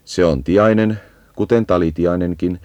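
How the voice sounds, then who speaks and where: Man, very deep pitch, soft dark timbre, measured explanatory delivery, scripted nature-guide narration, dry sound. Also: no echo, muffled recording.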